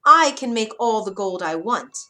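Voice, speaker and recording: A woman's voice: on the high side, lively intonation, normal speed, pushed and bright. A woman voicing a sly, smug little imp man in a storybook tale, with a taunting, emphatic delivery. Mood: demanding, scornful.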